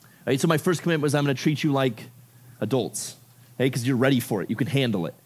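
A man's voice: mid-range voice, quick pace. A man from Ecuador giving a youth sermon to a room of about fifty teens. He talks casually and keeps dropping in "like" and "right?". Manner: casual, animated, filler-heavy with frequent "like" and "right?". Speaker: youth pastor.

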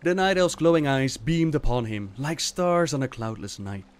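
A man's voice: medium pitch, expressive, normal speed. A man narrating fantasy tales as a host, in a dramatic storybook style.

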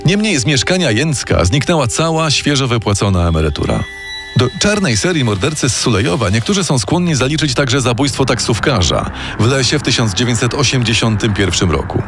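A man narrates a true-crime story in a measured, dramatic radio style. His pitch moves a lot, and music plays clearly beneath his voice. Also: big pitch swings. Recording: narration over loud music.

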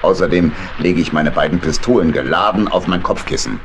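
An actor playing a fussy, avuncular badger boat captain who mutters and repeats himself in a children's radio play. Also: male voice, low voice, fairly quick. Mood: cosy. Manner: hesitant, muttering, repeats words. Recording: noisy storm and engine ambience.

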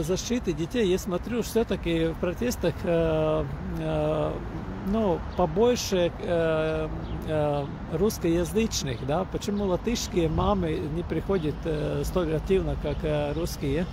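A man's voice: fairly high pitch, takes it slowly, gravelly. Hesitant man interviewing on a street. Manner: hesitant, many filler sounds. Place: outdoors on a city street. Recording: street with traffic noise.

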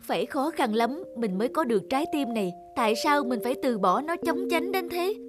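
A woman voicing a gentle snowman who longs for a heart, in an animated fairy tale, speaking softly and earnestly. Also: sits high, a little fast. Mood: anxious. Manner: soft, earnest, pleading. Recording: loud music behind.

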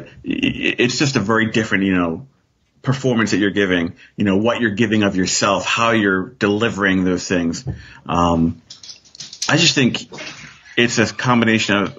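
A man with a fairly low, husky voice talks casually as a guest on a discussion show. He feels his way through his points and often checks for agreement. The audio is a little dull. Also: fairly bright tone. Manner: tentative, often seeking agreement with "right?". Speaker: guest author.

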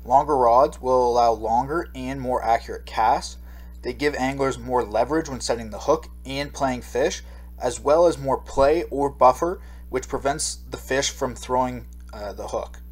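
A man's voice: medium pitch, unhurried. A man narrating a slideshow lesson as a fishing instructor, explaining steadily with occasional fillers. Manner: explanatory, reads steadily with occasional ums and uhs. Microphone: voice-over.

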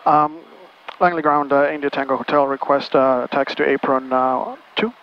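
A man's voice: mid-range voice, flat delivery, normal speed. A male pilot making slightly hesitant procedural calls to ground control over aviation radio. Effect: aviation radio transmission. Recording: muffled noisy aviation radio.

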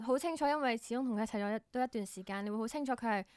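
Woman in her twenties, Hong Kong accent, fast pace, dry studio sound, casual storytelling. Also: a little high, darkish tone, clean tone, dry recording. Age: 20s. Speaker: radio show guest. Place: small radio studio. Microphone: desk microphone.

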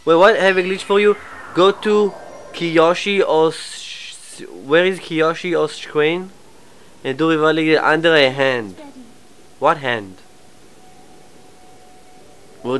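A man with a cold and a stuffy nose, chatting casually through a live game stream, his asides often trailing off quietly. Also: fairly high pitch, takes it slowly, pauses often, slight background noise. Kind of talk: live gameplay commentary.

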